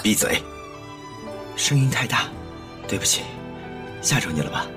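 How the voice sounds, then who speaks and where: A man playing a gentle, intimate young husband with an obsessive streak in an audio drama. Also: mid-range voice, sing-song, measured pace, music in the background. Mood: obsessive, brooding. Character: soft-spoken, obsessive young husband fixated on dolls. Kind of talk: Mandarin audio drama acting.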